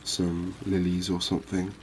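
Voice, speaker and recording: A man giving unhurried, murmured ASMR commentary as he hosts a fishing game series. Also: sits low, monotone, slight background noise, low-quality recording. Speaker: host of a gaming series.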